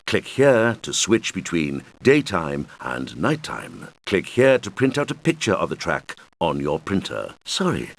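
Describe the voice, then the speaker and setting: Deep, animated kids' game guide. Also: male voice, full character voice. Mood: apologetic, helpful. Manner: patient, clearly explanatory, addressing children. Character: helpful male game guide instructing young players. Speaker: game tutorial guide character. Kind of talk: scripted instructional narration for a children's video game.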